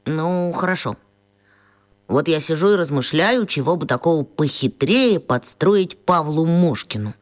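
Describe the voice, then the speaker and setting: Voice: medium pitch, lively intonation, a little slow, natural voice. A performer in a radio play voicing a puzzled, mischievous schoolboy who grumbles and thinks aloud to himself expressively. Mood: scheming, pensive. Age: child.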